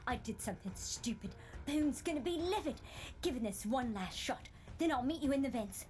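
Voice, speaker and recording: Excitable young street racer girl, highish voice. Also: moderate pace. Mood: urgent. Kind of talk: game cutscene acting.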